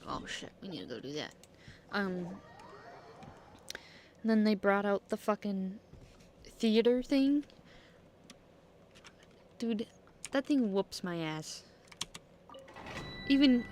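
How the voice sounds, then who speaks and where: A woman's voice: mid-range voice, a little mellow. A woman chatting casually and bluntly as she streams a game, thinking aloud and swearing freely. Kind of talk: casual live gameplay reactions and chat. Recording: faint background noise, keyboard clicks.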